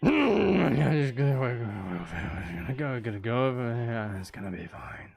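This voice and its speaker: Playful man bantering, slightly creaky voice. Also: medium pitch, very animated, slow, darkish tone. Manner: playful, teasing, rambling self-deprecating banter. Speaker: livestream host chatting with viewers while playing a game. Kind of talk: casual livestream chat with gameplay commentary.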